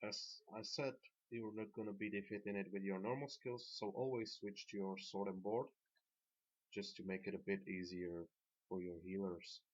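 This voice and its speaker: Low, monotone non-native man, muffled sound. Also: moderate pace, slightly rough. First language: not English. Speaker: game guide narrator. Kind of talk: instructional gameplay commentary. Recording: dull, lo-fi microphone sound.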